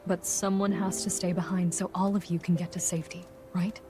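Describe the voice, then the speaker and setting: An actress playing a calm, resolute and caring female mercenary in a video game, gentle and steady. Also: fairly low pitch, fairly quick, natural voice. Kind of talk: dramatic video game acting.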